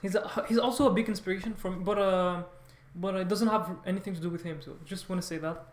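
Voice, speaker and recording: A man talks off the cuff to his viewers. He speaks haltingly, circling back and restarting his phrases as he rambles. The recording has a clear room echo around his voice. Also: sits high, fairly even intonation. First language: French. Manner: halting, rambling, repeats words and corrects himself often. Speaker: vlogger, language learner. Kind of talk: casual unscripted monologue to viewers.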